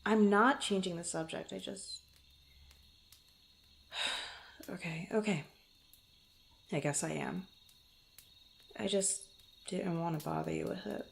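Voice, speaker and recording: Casting: actress as young female rogue adventurer, unhurried, slightly creaky voice, teasing yet easily flustered, intimate fantasy audio roleplay. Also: lowish voice, slight background noise, exaggerated voice. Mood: mock indignant. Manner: hesitant, stammering, playful banter. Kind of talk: intimate ASMR roleplay, one-sided conversation.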